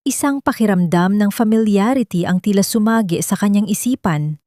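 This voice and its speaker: A woman's voice: mid-range voice, moderate pace, dark tone, no echo, studio quality. A woman narrator reading a scripted, dramatic love story.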